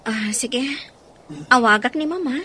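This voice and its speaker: A woman's voice: fairly high pitch, unhurried, pushed and bright, breathy edge, exaggerated voice. A woman acting a woman out drinking with friends in an Ilocano radio drama. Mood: confiding.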